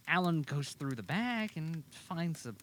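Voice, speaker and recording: A man's voice: fairly high pitch, fairly bright tone, a little hiss or hum. A man narrating an audio drama as a scripted story, in a dramatic storytelling style.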